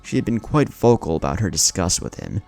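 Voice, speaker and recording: A man's voice: lowish voice, sing-song, fairly quick, vocal fry, darkish tone, faint music behind. A man reading a dramatic scripted story as its narrator.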